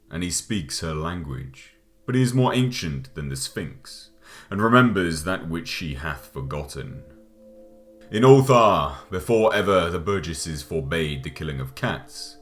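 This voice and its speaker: A man reads an old gothic tale as an audiobook narrator, solemn and measured, letting his phrases fall away at the ends. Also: rumbling low. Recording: music behind the voice. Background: background music.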